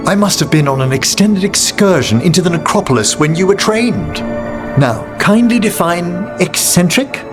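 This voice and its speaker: An actor playing a genteel, middle-aged necromancer in a fantasy video game, with formal, eloquent and measured diction. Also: male voice, high voice, big pitch swings. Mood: genial, conversational. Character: genteel, learned necromancer man, courtly and wordy. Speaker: companion character in a fantasy game. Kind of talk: dramatic video game dialogue. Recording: music behind the voice.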